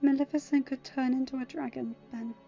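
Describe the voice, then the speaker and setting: A woman's voice: sits high, steady tone, a little fast, gravelly, voice pushed up. A woman voicing a guarded, exhausted teenage girl in an audio story, pleading and full of self-doubt. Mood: anxious, resigned. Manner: pleading, soft then snapping. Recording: dull lo-fi audio. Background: faint music.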